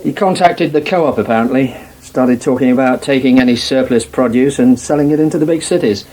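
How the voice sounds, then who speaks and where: An actor with an English accent playing an affable, slightly vague farmer and husband in a radio soap, hesitant and polite. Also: male voice, mid-range voice, a little fast, dark tone, lightly acted. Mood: musing, vague. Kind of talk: naturalistic British radio soap acting. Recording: noisy old radio broadcast archive.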